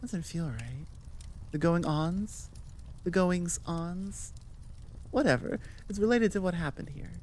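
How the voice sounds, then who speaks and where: A woman creator chatting casually to her listeners in a rambling outro, halting and thinking aloud with self-aware asides. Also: fairly low pitch, vocal fry, darkish tone, slight background noise. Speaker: audio roleplay creator and host.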